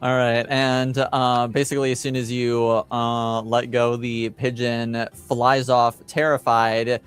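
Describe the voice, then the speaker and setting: A man acting as game master, improvising narration for a tabletop roleplaying game with hesitant, halting phrasing. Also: medium pitch, little pitch movement, clean tone, soft background music.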